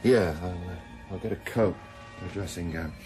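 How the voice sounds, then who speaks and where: An actor playing a middle-aged widower detective in a naturalistic radio drama, calm, dry and understated. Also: male voice, deep voice, normal speed, soft background music, natural voice. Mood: calm, authoritative. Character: steady, dry-humoured widower police detective.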